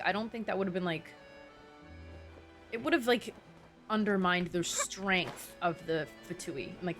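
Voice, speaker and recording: A woman's voice: fairly low pitch, a bit bright. A young adult woman commenting casually on a game livestream, slangy, reactive and rambling. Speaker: game streamer. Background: game music.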